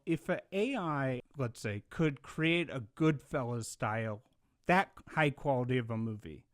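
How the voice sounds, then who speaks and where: A man hosting a casual podcast interview in a mid-range voice. He talks in an animated, rambling way, firing off long questions and swearing casually. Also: mid-range voice. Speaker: podcast host.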